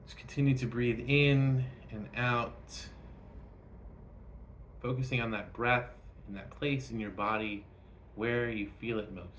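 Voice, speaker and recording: A man leading a guided meditation, speaking gently and instructively in short phrases with frequent pauses. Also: mid-range voice, fairly even intonation, slight background noise.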